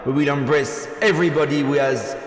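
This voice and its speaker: Man voicing a loud rousing rebel leader, echoey. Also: fairly high pitch, pitched above the performer's own voice, lightly acted. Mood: rousing, defiant. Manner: loud, declamatory, deliberate. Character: rousing rebel leader monarch, earnest and defiant. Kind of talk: theatrical speech to a crowd.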